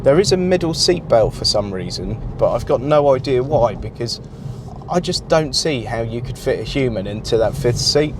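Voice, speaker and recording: A British man in his forties, croaky and nasal with a cold, chatting wryly about his car while driving, on a lapel mic. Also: medium pitch, expressive, moderate pace. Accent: British English. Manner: chatty, wry, grumbling about small gripes. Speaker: car reviewer. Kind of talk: casual car review vlog. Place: driving a car on suburban roads. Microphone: clip-on lapel mic. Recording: loud car noise in background.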